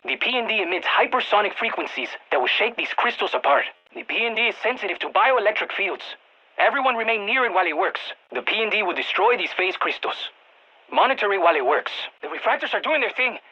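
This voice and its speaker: A man's voice: sits high, animated, fast, sharp tone, muffled recording, full character voice. A man playing an eager, geeky field scientist in a video game, giving precise technical briefings to soldiers over radio comms. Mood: focused. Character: excitable nerdy male field scientist guiding soldiers by radio. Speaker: mission-support scientist character in a shooter game.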